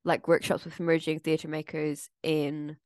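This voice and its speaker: Woman, podcast co-host, theatre maker, very low voice, dry sound, thoughtful. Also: flat delivery, moderate pace, darkish tone, dry recording. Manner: thoughtful, explains plans at length. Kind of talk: casual chat.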